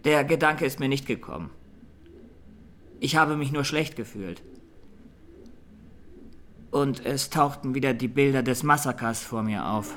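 A man playing a traumatised, earnest young Armenian student giving plain, deliberate testimony as a defendant in a radio drama. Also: mid-range voice, a bit bright, lightly acted. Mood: haunted, subdued.

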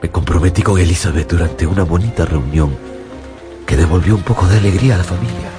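A man's voice: sits low, quick pace, over music, natural voice. An actor playing an earnest, troubled man narrating his past in a radio drama, with a dramatic delivery. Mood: reflective.

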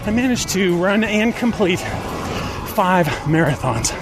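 A man in his fifties hosting a personal podcast monologue, speaking deliberately in short phrases with pauses. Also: expressive, music in the background. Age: 50s. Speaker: podcast host. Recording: noisy outdoor recording with traffic rumble.

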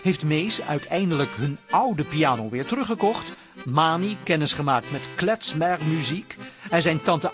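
Expressieve mannelijke verteller over muziek. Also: male voice, on the high side. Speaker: narrator. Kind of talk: scripted, playful radio drama narration. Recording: music mixed under the voice.

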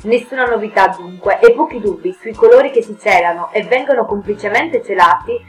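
A woman's voice: medium pitch, a little fast, soft background music, rough recording. A woman hosting a radio history programme, reading a scripted narration. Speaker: radio show host.

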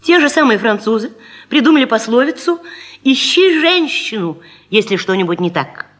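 A woman presenter with a husky voice, delivering a humorous, theatrical radio monologue with ironic, rhetorical emphasis. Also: fairly high pitch, expressive, moderate pace. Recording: 1970s radio recording, faint music.

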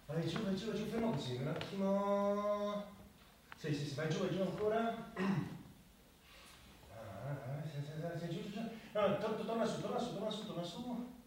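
A man's voice: sits high, normal speed. An actor playing an idealistic, stubborn doctor in a radio play, agitated and repeating himself as he stammers. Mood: excited, impatient. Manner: agitated, repetitive, stammering when excited. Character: idealistic, excitable, stubborn male spa health director, a doctor. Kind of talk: naturalistic Italian radio play acting. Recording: echoey, distant, noisy radio theatre recording.

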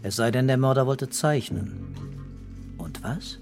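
An actor playing a dreamy, intuitive police commissioner in a radio drama, speaking in a musing, matter-of-fact way. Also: male voice, mid-range voice, a little slow, darkish tone, faint music behind, natural voice.

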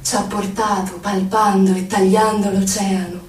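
A woman recites poetry in a measured, solemn manner, phrase by phrase. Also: mid-range voice, flat delivery. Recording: echoey, distant from the microphone.

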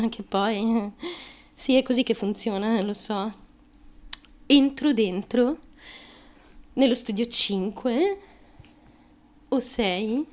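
High-voiced, desperate young woman. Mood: quietly determined. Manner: halting, repetitive, obsessive confiding. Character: desperate young woman chasing fame, naive, fiercely determined. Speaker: stage actress. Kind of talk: theatrical radio monologue.

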